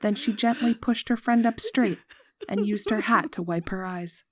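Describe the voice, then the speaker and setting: A woman narrator reading a scripted horror story in a measured, dramatic way. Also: medium pitch.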